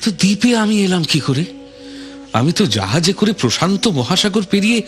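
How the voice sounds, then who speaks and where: An actor playing a polite, anxious, bewildered shipwrecked traveller in a radio play, halting and repeating his questions. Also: high-pitched, big pitch swings, faint music behind. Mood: puzzled.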